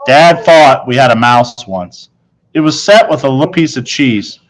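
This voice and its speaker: Guy reading a kids chapter book out loud, medium pitch voice, story narration. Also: expressive, normal speed, rough recording. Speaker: reader of a children's novel. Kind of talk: read-aloud story narration.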